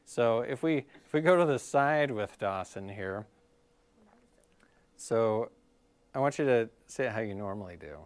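A man's voice: mid-range voice, expressive, unhurried, long pauses, dry recording. A middle-aged man explaining scoliosis care at a live workshop, casual and full of fillers, while losing his voice. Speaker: physical therapist leading a scoliosis workshop. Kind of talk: live-streamed workshop talk. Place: clinic room.

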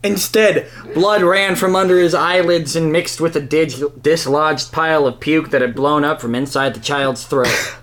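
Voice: medium pitch, steady tone, a little slow, a bit bright. A podcast host reading a crude comic story aloud in a theatrical, amused way. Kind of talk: dramatic comic reading of narration.

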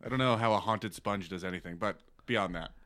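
A man's voice: low voice, fairly quick, bright, forceful tone, no echo, clean studio sound. A young man co-hosting a casual podcast, joking crudely and swearing often. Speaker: podcast co-host.

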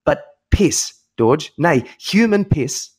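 A man's voice: fairly high pitch, expressive, takes it slowly, slightly rough. A young Australian man co-hosting a comedy podcast with blunt, teasing banter and comic rants. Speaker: podcast co-host. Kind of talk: casual comic banter and ranting.

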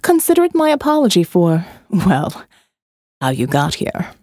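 A woman reading an audio novel voices a condescending male captor who talks slowly and patronizingly. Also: on the low side, wildly swooping pitch, a little mellow, no echo, studio quality, pitched below the performer's own voice. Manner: slow, drawling, patronizing. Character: smooth condescending male captor, middle-aged.

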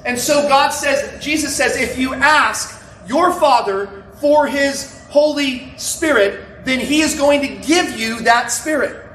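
A man preaching a sermon to his congregation without a microphone, talking in a loud, conversational way with asides to the people. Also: very high-pitched, slow, a little hiss or hum. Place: church sanctuary. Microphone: no microphone, recorded from a distance. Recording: roomy, distant church recording.

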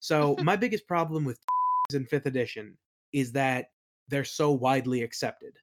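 A man's voice: mid-range voice, moderate pace, background noise. A man answering as an interview guest in a casual conversational style, often hesitant as he thinks aloud. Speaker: interview guest, audio play creator.

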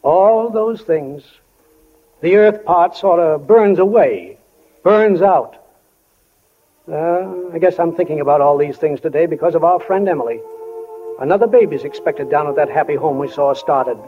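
An actor playing a folksy, reflective small-town storyteller in a 1940s radio play, musing and homespun. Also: male voice, fairly high pitch, faint music behind. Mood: wistful, musing. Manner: homespun, musing, unhurried, addresses listeners as friends. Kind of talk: 1940s radio acting, narration. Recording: tinny old 1940 radio broadcast.

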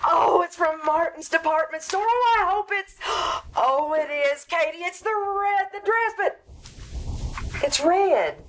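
A woman playing a high-voiced, scatterbrained sitcom housewife. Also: a little slow, rough recording. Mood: excited, then dismayed. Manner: theatrical, comically exasperated. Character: scatterbrained, wry, weary housewife in a radio sitcom. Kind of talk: old-time radio comedy acting. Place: theatre stage before an audience. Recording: live stage show, background noise.

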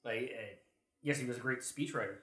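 A man's voice: mid-range voice, a little fast, bright, forceful tone. A man discussing history casually as a podcast panelist, recorded in a room. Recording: echoey room.

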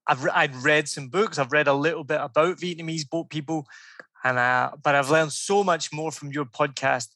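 A Scottish man with a bright, fairly high voice hosts a podcast, rambling eagerly and cutting himself off. The sound is close and dry. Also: fairly even intonation, moderate pace, no echo. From Scotland. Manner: enthusiastic, rambling, self-interrupting. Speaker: podcast host. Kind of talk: conversational interview.

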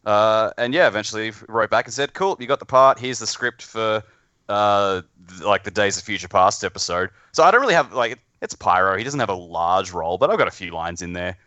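A man talking casually as a podcast guest, in a halting, informal way about his amateur acting. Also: fairly low pitch, expressive, bright, forceful tone, dry recording, muffled recording. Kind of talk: casual chat.

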